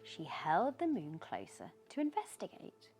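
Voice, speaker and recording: A woman reads a children's story at bedtime, gentle and expressive. Her pace is slow and her pitch swoops with plenty of variety. Music plays faintly behind her voice. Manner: expressive, gentle storytelling with questions posed to the listener. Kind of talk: bedtime story read aloud with short asides.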